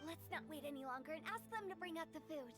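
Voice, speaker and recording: A woman playing a playful, energetic teenage girl in a video game, bubbly and informal. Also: high-pitched, fast talker. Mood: bossy, cheery. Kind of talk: animated game voice acting. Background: background music.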